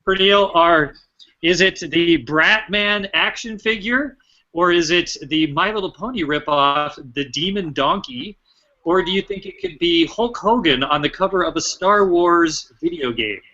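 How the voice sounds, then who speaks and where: Bright, higher-voiced American man hosting a quiz. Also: a little slow. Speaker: show host and quizmaster. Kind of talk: playful quiz-show interview banter. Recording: dull, band-limited sound.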